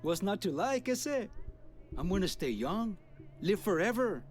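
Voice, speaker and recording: Cocky gangster vampire. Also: male voice, sits high, sing-song, measured pace. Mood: excited, boastful. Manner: boastful slangy talk peppered with Spanish. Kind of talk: video game voice acting. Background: music.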